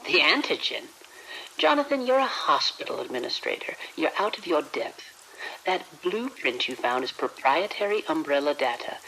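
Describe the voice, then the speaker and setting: A woman playing a cold, quietly menacing corporate villain in a horror audio drama, speaking with measured condescension. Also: low voice, sing-song, a little hiss or hum, heavily acted, rough recording. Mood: condescending, cold. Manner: condescending, measured, veiled threats.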